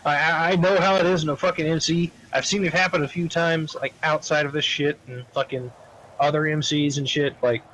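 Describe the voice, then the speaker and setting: A man's voice: fairly high pitch, fairly even intonation, a little fast, fairly bright tone, low-quality recording. Profane man in casual game chat, faint noise. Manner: heavily profane, rambling. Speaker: roleplay game player. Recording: faint background noise, keyboard typing.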